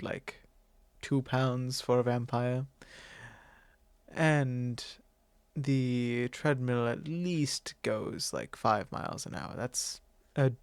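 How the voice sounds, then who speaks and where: Man playing a measured, haughty vampire lord, close and dry. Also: mid-range voice, dry recording. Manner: measured, formal, haughty, pausing often. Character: possessive, genteel male vampire lord, commanding and self-assured. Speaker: ASMR roleplay performer. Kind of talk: ASMR roleplay monologue addressed to the listener.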